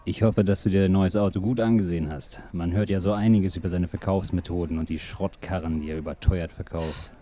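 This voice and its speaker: A weary male detective, acted by a man in an audio drama. His voice is low and dark, and he talks in a dry, terse way. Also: fairly even intonation, brisk, few pauses, close to an everyday voice. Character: weary, terse male private investigator in a bar.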